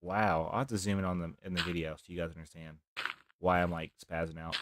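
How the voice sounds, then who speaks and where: Young man streaming a game, very deep voice, soft rambling talk, noisy mic. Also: flat delivery, brisk. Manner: rambling, talks softly, near whispering. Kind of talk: casual live gameplay commentary.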